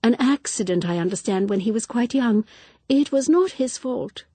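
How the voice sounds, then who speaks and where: A woman voicing a gentle, prim elderly spinster who speaks politely and apologetically. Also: fairly high pitch, expressive, moderate pace, slightly rough, no room sound, voice pushed up. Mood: explanatory. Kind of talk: audiobook character voice.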